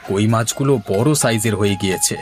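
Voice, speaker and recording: A man voicing a tired village husband and gentle father in a cartoon, speaking in a homely way. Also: lowish voice, fast talker, close to an everyday voice. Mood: calm. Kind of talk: cartoon voice acting. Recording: music behind the voice.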